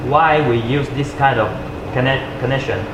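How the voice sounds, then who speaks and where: A man who speaks English as a second language gives an unscripted product demonstration, haltingly searching for words with uneven grammar. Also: medium pitch. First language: not English. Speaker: product presenter. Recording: echoey room with clear background noise.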